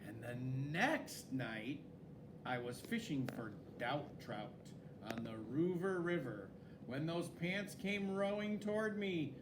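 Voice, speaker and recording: A man reading a children's story aloud in an expressive, dramatic way, with swooping emphasis. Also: a little high, slow pace, noisy background. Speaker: story reader.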